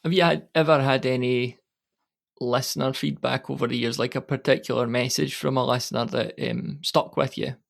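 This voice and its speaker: Guy interviewing someone on a podcast, creaky mid-pitched voice, measured questions. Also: steady tone.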